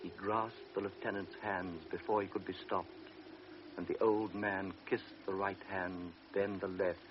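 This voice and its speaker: Brief: male narrator, very low voice, grave measured delivery, dull hissy old broadcast. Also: level tone. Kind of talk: scripted radio drama narration. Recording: dull old radio recording with hiss.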